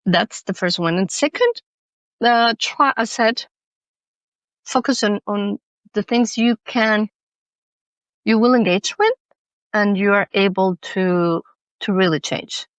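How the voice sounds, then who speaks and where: A woman's voice: mid-range voice, slow, pauses often, no echo, poor audio. Earnest woman guest, English, thoughtful, restarts often, dry recording. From Mexico. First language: Spanish. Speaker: guest, accessibility consultant. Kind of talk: podcast interview.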